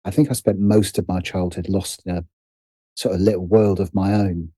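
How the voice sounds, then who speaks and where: British man, middle-aged, low mellow voice, hesitant, self-deprecating, casual interview chat. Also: fairly even intonation, moderate pace, breathy edge, dry recording, clean studio sound. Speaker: podcast guest, writer and producer.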